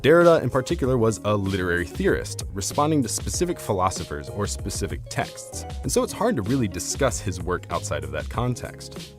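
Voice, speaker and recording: A low-pitched man delivers a scripted argument in formal, academic language. His intonation moves up and down in a lively way, and he articulates carefully. Faint music plays behind him. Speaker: video essayist, narrator.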